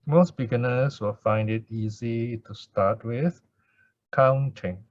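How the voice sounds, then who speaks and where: A man speaking as a Buddhist meditation teacher, in gentle, unhurried devotional chanting or guided instruction with pauses. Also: medium pitch, no echo. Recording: dull lo-fi recording.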